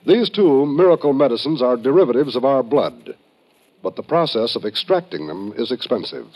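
An American man announcing on 1950s radio in a scripted, solemn, measured style, on a muffled vintage recording. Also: medium pitch, very animated.

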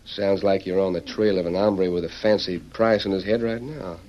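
Man playing a swaggering low-voiced outlaw. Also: steady tone, normal speed, a little hiss or hum, close to an everyday voice. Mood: sly, scheming. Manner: cocky, slangy, goading. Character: swaggering, menacing, scheming male western gunslinger outlaw. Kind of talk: old-time radio western acting. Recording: muffled vintage radio recording.

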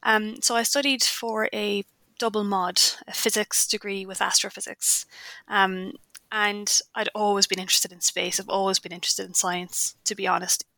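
A woman's voice: mid-range voice, little pitch movement, moderate pace, gravelly, bright, forceful tone, no echo. A woman with an Irish accent giving candid, reflective, conversational answers as a science author being interviewed. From Ireland.

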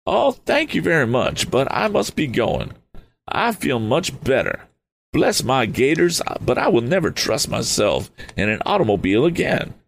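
Man reading aloud puts on an eccentric old gent: high, sputtering, excitable. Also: vocal fry, a little hiss or hum. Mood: flustered. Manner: sputtering exclamations, hurried. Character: eccentric excitable older man, fond of exclamations.

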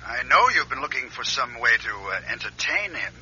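An actor playing a sly executive on the telephone in a radio drama, breezy and evasive with hesitant pauses. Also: male voice, mid-range voice, sing-song, pushed and bright, slight background noise. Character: sly former boss hinting at foul play. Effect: telephone filter. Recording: tinny telephone effect, lo-fi radio recording.

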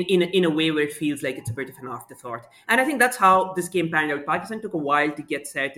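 A man's voice: medium pitch, bright, forceful tone. A man on a podcast as a guest sports writer, speaking articulately with some restarts and self-deprecating humor. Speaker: cricket sub-editor guest. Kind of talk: casual podcast chat.

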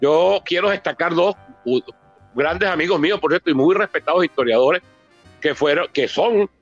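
A man's voice: fairly high pitch, normal speed, highs cut off, soft background music. A man in his sixties with a Venezuelan accent speaks eloquently and discursively, piling up phrases as an author presenting his book. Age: 60s.

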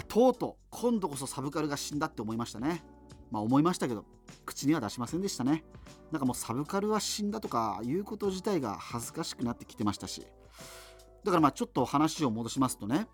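A self-deprecating radio host at the end of the world, with a slightly high voice and lively, swinging intonation. He rambles through reminiscences wryly and hesitantly, with music playing behind him. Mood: wry, nostalgic. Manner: wry, digressive, full of hesitant fillers. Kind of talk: conversational radio drama monologue, reminiscing.

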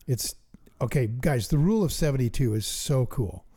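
A middle-aged man co-hosting a chat about investing, eager and thinking out loud as he works sums aloud. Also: medium pitch, expressive, normal speed, slightly rough. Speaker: investing podcast co-host. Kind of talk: casual teaching chat.

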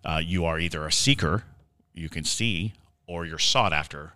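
A man co-hosting a podcast, telling stories and explaining in a casual but halting, deliberate way with drawn-out words. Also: sits low, pushed and bright, no echo, clean studio sound. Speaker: podcast co-host. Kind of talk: casual discussion and storytelling.

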